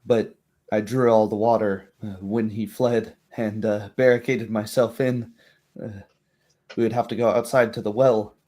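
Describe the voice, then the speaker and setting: A man voicing a shaken, weary wizard's servant in a tabletop game, halting and apologetic. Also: lowish voice, flat delivery, mellow, close to an everyday voice.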